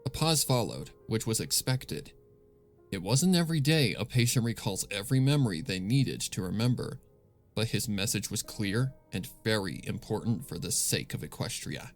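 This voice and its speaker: A man reads dark fan fiction in a lowish, creaky voice. His scripted narration is grave and deliberate, set over faint music. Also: animated. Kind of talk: scripted dramatic horror narration.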